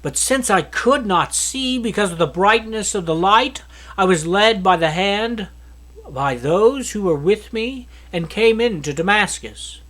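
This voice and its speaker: A man reading scripture aloud from a script, with expressive delivery of the narrative. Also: sits high, a little slow. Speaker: Bible reader.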